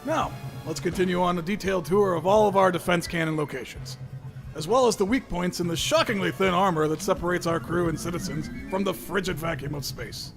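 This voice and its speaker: A man's voice: high-pitched, a little fast, gravelly, over music. A man voicing an eccentric, blithely oblivious starship captain in a parody anime dub, sounding self-assured. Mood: breezy.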